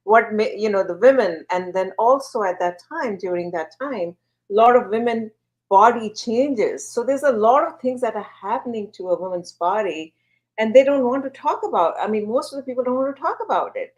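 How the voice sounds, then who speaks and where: Earnest woman from India, animated. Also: a little high, normal speed. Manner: animated, earnest, uses fillers. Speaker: guest, wellness platform founder. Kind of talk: conversational interview answers.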